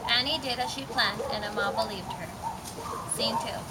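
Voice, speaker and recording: High-voiced woman narrating a story. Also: normal speed. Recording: very noisy amateur recording.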